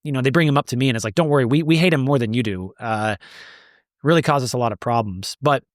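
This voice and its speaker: A man's voice: mid-range voice, fast, no room sound, studio quality. A man answering interview questions as the founder of an advocacy group, speaking emphatically and repeating words as he builds a point.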